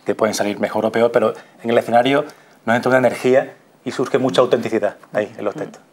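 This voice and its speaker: A man's voice: medium pitch, steady tone, fast talker. A man in his thirties with a Spanish accent, talking as an interview guest in a TV studio. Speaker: radio theatre performer, guest. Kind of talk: interview talk.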